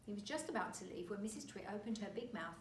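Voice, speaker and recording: A woman reading a children's story aloud like a teacher, expressive and deliberate. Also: medium pitch. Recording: roomy, distant mic, faint noise.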